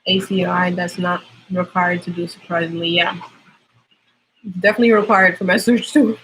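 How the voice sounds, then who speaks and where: A woman's voice: lowish voice, flat delivery, normal speed, a bit bright, slight background noise, poor audio. A young woman with a Trinidadian accent, chatting casually on a livestream as a nursing student, explaining things with lots of fillers.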